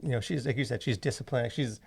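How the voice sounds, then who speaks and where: A man with a mid-pitched, gravelly voice co-hosts a podcast at a quick clip. He talks casually, in halting bursts of short phrases. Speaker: podcast co-host.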